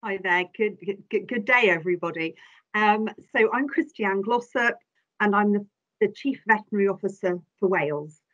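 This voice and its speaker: Older woman from England, talkative guest, mid-pitched, muffled call audio. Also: normal speed. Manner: talkative, warm storytelling with hesitations. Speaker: chief veterinary officer, guest. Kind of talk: conversational interview answers. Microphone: video call. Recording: slightly dull video-call audio.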